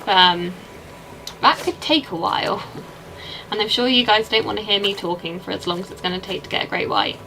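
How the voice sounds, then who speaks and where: A woman's voice: mid-range voice, fairly quick, gravelly, over music, poor audio. A young woman with an English accent, chatting casually and rambling while she plays a game and answers her chat. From England. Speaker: streamer.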